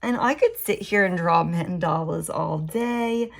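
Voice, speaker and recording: Raspy woman teaching a drawing demo. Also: fairly low pitch, a little slow. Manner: relaxed, thinking aloud while drawing. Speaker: art teacher.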